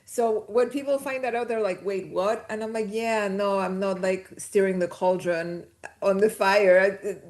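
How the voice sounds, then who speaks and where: A woman's voice: medium pitch, fairly even intonation, a little slow, darkish tone. Guest, woman from Chile, medium voice, conversational storyteller, laughs easily. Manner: chatty, storytelling, laughs mid-sentence. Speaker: podcast guest. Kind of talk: casual conversation.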